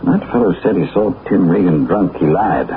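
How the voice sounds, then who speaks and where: An actor playing a refined gentleman detective in a 1940s radio drama, with clipped, polished diction. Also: low-pitched, normal speed, natural voice. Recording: scratchy, tinny 1940s radio broadcast.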